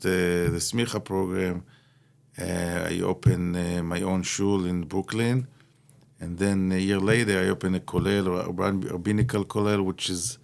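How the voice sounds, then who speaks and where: A man's voice: low-pitched, gravelly. A middle-aged man from Israel, a rabbi, giving a Torah talk in conversation, hesitant with many fillers and Hebrew terms mixed in. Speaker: rabbi, guest speaker.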